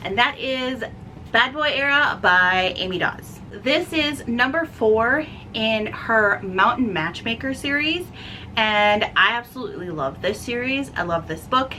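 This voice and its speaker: A woman's voice: fairly high pitch, lively intonation, a little slow, bright, forceful tone, slight background noise, rough recording. A woman hosting a casual, chatty book vlog, talking enthusiastically and stressing words by repeating them. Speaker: book reviewer and video host.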